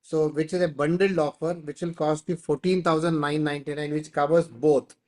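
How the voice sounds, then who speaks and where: A man's voice: a little high, fairly even intonation, normal speed, darkish tone. A man with an Indian accent hosting an online class, explaining and answering questions, often repeating himself. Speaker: host of an online training class. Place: online video call.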